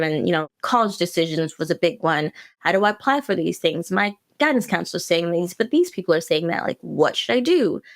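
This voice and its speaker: Quick-talking woman from South Sudan. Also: lowish voice. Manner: quick, with frequent restarts and laughs. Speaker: guest. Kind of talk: casual interview answer.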